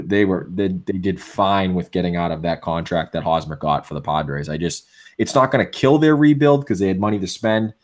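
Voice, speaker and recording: A man with a Boston accent co-hosting a casual sports podcast, opinionated and full of restarts, with a voice going hoarse. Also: deep voice. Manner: opinionated, many restarts and fillers. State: losing his voice. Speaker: podcast co-host.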